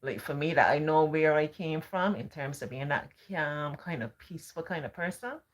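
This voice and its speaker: A calm Barbadian woman hosting a live stream, talking casually in a gentle, sing-song way. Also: low-pitched, moderate pace.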